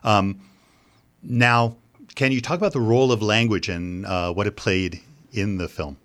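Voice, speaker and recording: A man's voice: on the low side, measured pace, fairly bright tone. A man interviewing a filmmaker, asking plain probing questions with small restarts.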